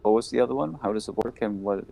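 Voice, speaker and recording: Lowish offhand man player. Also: fast talker, creaky, mellow, low-quality recording. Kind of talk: casual game chat.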